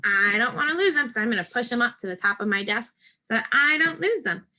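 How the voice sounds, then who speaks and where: Bright, high-voiced woman teaching young children. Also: animated, fast. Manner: instructional, simple patient phrasing, talking directly to children. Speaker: first grade teacher. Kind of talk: step-by-step craft lesson for young children.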